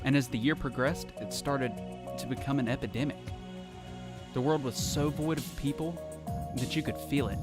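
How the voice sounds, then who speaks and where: Man playing lonely survivor, scripted first-person narration, somber, medium pitch, music behind. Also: close to an everyday voice. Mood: somber, uneasy. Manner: somber, reflective. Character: lonely, haunted male survivor telling his story.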